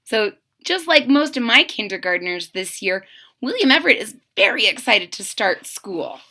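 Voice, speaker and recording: Bright, animated woman reading to children. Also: fairly high pitch, moderate pace. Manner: expressive, explanatory asides to young listeners. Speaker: kindergarten teacher reading aloud. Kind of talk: children's storybook read-aloud.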